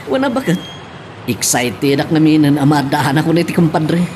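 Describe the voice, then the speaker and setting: A man acting a cheerful, excited young husband and expectant father in an Ilocano radio-style drama. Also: a little high, measured pace, slight background noise.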